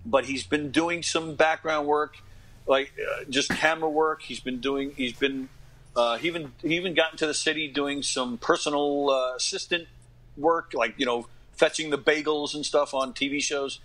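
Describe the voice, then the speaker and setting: A man's voice: a little high, steady tone, moderate pace, fairly bright tone, low-quality recording. A middle-aged man talking casually as an interview guest, earnest and emphatic, often repeating his phrases. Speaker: podcast guest, actor and comedy radio host.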